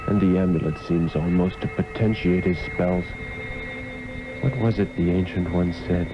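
A man's voice: low voice, gravelly. An actor playing an earnest, troubled master magician in a 1960s radio drama, formal and brooding in his delivery. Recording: muffled old radio recording with music.

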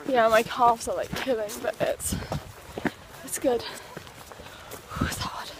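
A woman's voice: fairly high pitch, fairly even intonation, slow pace. Chatty young woman vlogging on a phone. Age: young adult. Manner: chatty, upbeat, talks to camera while hiking. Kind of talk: casual vlog chat. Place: outdoors on a windy hillside and indoors. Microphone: phone held at arm's length. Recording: noisy phone recording.

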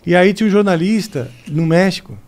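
A man's voice: high voice, brisk, darkish tone. A man in his forties, a guest musician, telling jokey stories in a casual podcast chat in a studio. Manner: storytelling, joking. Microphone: studio mic on boom arm.

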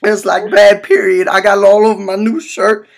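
A man's voice: high voice, flat delivery, normal speed, vocal fry. A man in his twenties playing a hurt, naive young man on a prank call, whining, pleading and stammering as he repeats himself. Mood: distressed, whiny. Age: 20s. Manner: whiny, pleading, repetitive, stammering. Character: hurt, frantic, naive young man with bleeding swollen lip. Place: small room indoors, at a desk.

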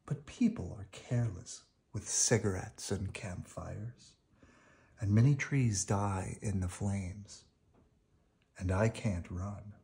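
A man voicing a gentle, friendly female talking tree in a storybook, with earnest, drawn-out speech. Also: on the low side, vocal fry. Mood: worried.

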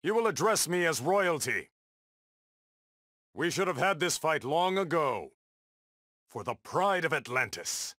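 A man's voice: fairly high pitch, big pitch swings, unhurried, long pauses, a bit bright, no echo, clean studio sound, heavily acted. An actor playing a proud, stern undersea warrior king in a video game, with a regal, defiant, declamatory delivery. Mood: commanding, angry. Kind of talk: video game battle dialogue.

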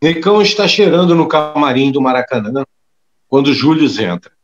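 A man's voice: a little high, expressive, moderate pace. A man narrating scenes for an audio drama from a script. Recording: dull lo-fi recording.

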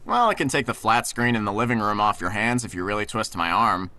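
A man's voice: lowish voice, lively intonation, brisk, pushed and bright. A man playing an easygoing, concerned teenage boy with a casual, tentative way of talking in an audio drama. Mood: casual, teasing. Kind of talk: naturalistic audio drama acting.

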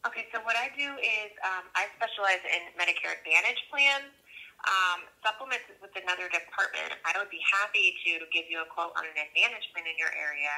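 A woman's voice: fairly low pitch, a little fast, bright, forceful tone. A woman working as a call centre agent, polite and businesslike, heard through a telephone speaker. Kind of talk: scripted customer service phone talk. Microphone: heard through a phone's speaker. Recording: phone call on speaker, faint noise.

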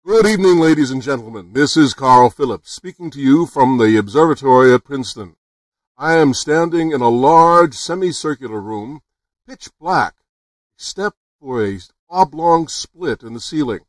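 A man's voice: medium pitch, expressive, moderate pace, no echo, lightly acted. Man acting earnest radio reporter, slightly distant. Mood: formal, announcing. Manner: formal, announcing. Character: earnest male radio news reporter on location. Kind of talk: 1930s radio drama acting, live broadcast commentary.

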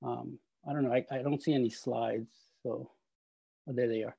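A man in his forties from El Salvador, an artist talking over a video call from his studio, halting with many fillers and restarts. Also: medium pitch, steady tone, creaky, mellow, slightly rough, no room sound. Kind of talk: video call talk with slides. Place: home art studio. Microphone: earphone mic on video call.

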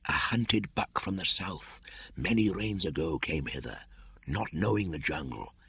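An ancient, wise male python out of a storybook, acted by a man. The voice is gravelly and rough-edged, with wide swings of pitch. He counsels slowly and deliberately, as a cunning old hunter. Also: no echo, full character voice. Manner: slow, deliberate, archaic phrasing.

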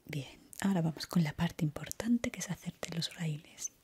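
A young adult woman with an accent from Spain whispers a soothing, unhurried ASMR barber roleplay in a breathy, reassuring way, close to the microphone. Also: fairly low pitch, dark tone, a little hiss or hum. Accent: Spanish, from Spain.

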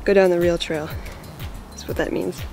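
A woman's voice: fairly low pitch, fairly even intonation, normal speed, creaky, music in the background. A young woman reporter chatting casually as she hikes a trail, giving offhand tips to the viewer. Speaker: newspaper reporter. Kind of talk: casual hiking vlog. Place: outdoors on a forest trail, some lines in a car.

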